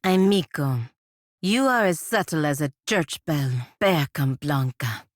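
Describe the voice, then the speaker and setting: An actress playing a proud, sardonic fantasy warrior-diplomat in a video game, speaking formally and with dry scorn. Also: female voice, sits low, lively intonation, measured pace, no echo. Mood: dry, scornful. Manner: formal, clipped, dryly scornful. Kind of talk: video game character dialogue.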